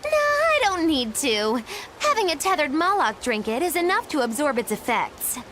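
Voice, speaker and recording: A woman's voice: high-pitched, big pitch swings, moderate pace, a bit bright, faint music behind. Sly, swooping young witch. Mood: smug, teasing. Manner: teasing, theatrical, sly. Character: mischievous, smug, eccentric young female witch. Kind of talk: English video game voice acting.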